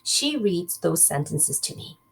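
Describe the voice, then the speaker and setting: Woman, primary teacher read-aloud. Lively swooping pitch, slightly husky, unhurried, speaks straight to the children. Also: rough recording. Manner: expressive, addresses children directly with questions. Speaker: teacher reading aloud to a class.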